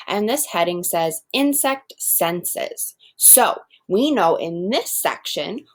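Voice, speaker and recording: A woman teaching first graders in a read-aloud lesson, explaining step by step and speaking directly to her pupils. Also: lowish voice.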